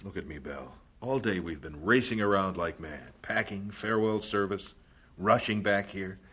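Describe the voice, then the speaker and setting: Devout missionary husband in a radio play, husky, patient but firm, reasons things out. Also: male voice, low voice, bright, forceful tone, exaggerated voice. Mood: quiet, earnest. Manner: measured, earnest, reasoning. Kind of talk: radio drama acting.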